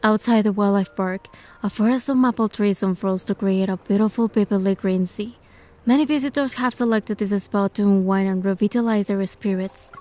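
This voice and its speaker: An actress playing a curious young woman who narrates her date in a game, gentle, measured and playful. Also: medium pitch, fairly even intonation, natural voice. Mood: tender, narrating. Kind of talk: scripted first-person game narration and dialogue.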